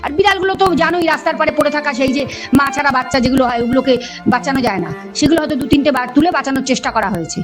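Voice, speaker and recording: A woman's voice: high-pitched, rapid-fire. A woman speaking defensively and insistently in a heated phone conversation. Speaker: animal rescuer. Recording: phone call recording with music underneath.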